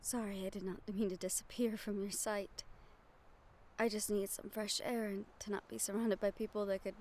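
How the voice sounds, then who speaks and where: A woman playing a gentle, earnest young magic-wielder in an audio roleplay, soft-spoken and measured with thoughtful pauses. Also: mid-range voice, little pitch movement, a little hiss or hum, natural voice. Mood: apologetic, quiet. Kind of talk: audio roleplay acting, addressed to the listener. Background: ambient sound effects.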